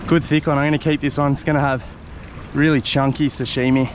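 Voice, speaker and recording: A man's voice: mid-range voice, flat delivery, a little fast. Australian man talking over crashing surf. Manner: chatty, exclaims often while fighting fish. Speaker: fishing show host. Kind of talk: live running commentary while fishing. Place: rocky ocean ledge outdoors at sunrise. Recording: outdoor surf noise. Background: crashing surf and waves.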